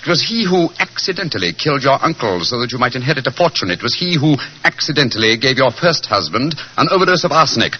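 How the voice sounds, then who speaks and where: A man's voice: medium pitch, very animated, fast talker, few pauses, natural voice. A man playing a shrewd, self-assured detective in 1940s radio style, with a British accent and an urbane, precise, theatrical delivery. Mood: triumphant, explaining. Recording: noisy, dull old radio recording.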